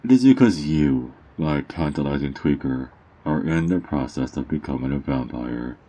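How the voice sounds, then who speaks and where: A man playing a sly, mocking underground drug dealer in an ASMR roleplay, teasing and smug, with theatrical drawn-out words. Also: extremely low pitch, expressive, dark tone. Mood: menacing, gloating. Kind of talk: ASMR character roleplay monologue.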